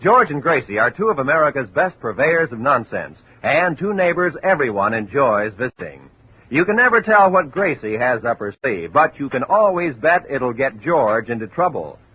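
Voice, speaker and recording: A man's voice: mid-range voice, moderate pace, breathy edge. A man as radio announcer, delivering a promo in a 1950s broadcast style. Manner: bright, lively announcer delivery. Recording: tinny, hissy 1950 radio audio.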